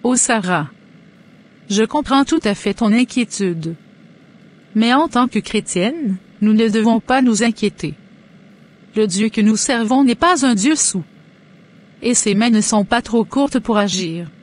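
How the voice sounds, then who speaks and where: A woman's voice: on the high side, measured pace, pauses often. A woman playing a devout, tender middle-aged mother in a cartoon, formal and reassuring. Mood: concerned, reassuring. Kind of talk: scripted cartoon dialogue.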